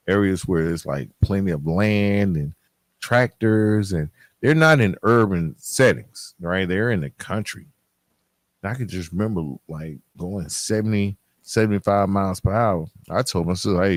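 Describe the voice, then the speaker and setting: A man hosting a podcast, telling personal stories in a candid, conversational, halting way with phrases repeated for emphasis. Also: lowish voice, dry recording. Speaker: podcast host. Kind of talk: casual personal storytelling to listeners.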